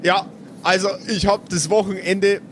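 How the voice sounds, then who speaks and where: Man playing a halting rural schoolboy, noisy recording. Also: sits high, level tone, voice pushed up, close to an everyday voice. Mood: eager, halting. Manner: halting, repeats himself. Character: sluggish rural ninth-grade boy in class.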